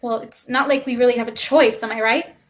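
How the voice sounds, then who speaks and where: Casting: woman as level-headed, quick-witted kid for a stage play, high-pitched and wary. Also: steady tone, a bit bright, lightly acted. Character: cautious, quick-witted child stowaway, the level-headed one. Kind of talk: staged reading of a play over video stream.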